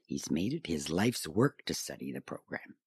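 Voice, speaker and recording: A woman's voice: rumbling low, big pitch swings, normal speed, slightly rough, no room sound, clean studio sound, heavily acted. An actress playing a wry, folksy small-town mayor telling her stories in an audio drama interview. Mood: teasing, folksy. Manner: folksy, wry, chuckling storyteller.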